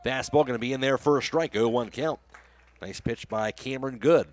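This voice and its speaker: A man's voice: mid-range voice, brisk, no echo. Man calling live baseball on radio. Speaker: play-by-play announcer. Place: live outside broadcast at a baseball game.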